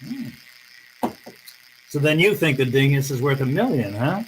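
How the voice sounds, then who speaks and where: A man's voice: medium pitch, very animated, unhurried, a little hiss or hum, rough recording. A man playing a tough, wry private eye in a radio noir drama, terse and slangy, both narrating and in dialogue. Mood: wry, wary. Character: wry, tough, hard-boiled male private detective.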